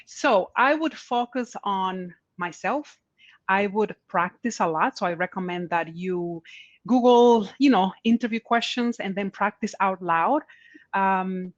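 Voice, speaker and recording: A woman panelist answers audience questions with earnest encouragement, circling back to repeat her main points. Her mid-pitched voice arrives dry and slightly muffled over a video call. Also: no echo. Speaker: panelist giving career advice. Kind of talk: conference Q&A answers.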